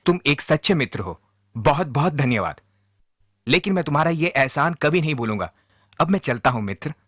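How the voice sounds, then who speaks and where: A man's voice: on the high side, sing-song, a little fast, a bit bright. A man as an earnest merchant friend. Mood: reassuring, grateful. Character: male merchant friend, needy, grateful and loyal. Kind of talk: animated moral-story dubbing.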